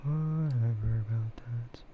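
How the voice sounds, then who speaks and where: A man streaming soft, breathy ASMR chat, hesitant and unhurried, often dropping into a whisper. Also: medium pitch. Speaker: streamer, VTuber. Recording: muffled, lo-fi, clearly noisy.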